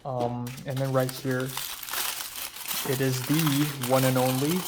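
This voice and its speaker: A young man chatting casually in a vlog, tasting food and recounting his day, his voice a little raspy after a long phone call. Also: medium pitch, slow, heavy background noise. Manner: relaxed, chatty, drifting between soft murmurs and louder remarks. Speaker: vlogger.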